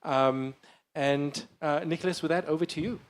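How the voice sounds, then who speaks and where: Courteous moderator, slightly high voice. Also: male voice, steady tone, moderate pace, a little hiss or hum. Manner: courteous, hesitant with fillers. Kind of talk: panel moderation. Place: conference hall panel.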